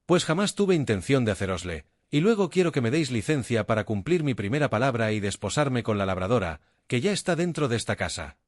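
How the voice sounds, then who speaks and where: A man's voice: medium pitch, big pitch swings, no room sound, studio quality, lightly acted. A man reading the lines of a young noble duke who speaks formally and with self-assurance. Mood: courtly. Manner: formal, measured speech.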